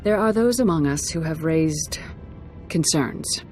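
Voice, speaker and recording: Casting: authoritative female Jedi master, game cutscene. Low register, controlled and diplomatic. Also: expressive, unhurried, darkish tone, soft background music. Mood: calm, firm. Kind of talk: cinematic video game acting.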